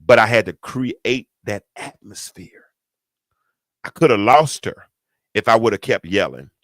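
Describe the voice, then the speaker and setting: A middle-aged man hosting a talk show, telling a parenting story with a deep, stentorious voice and emphatic repetition. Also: expressive, unhurried, dry recording, clean studio sound. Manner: emphatic, repeats words for effect, folksy asides. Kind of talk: casual storytelling to an audience.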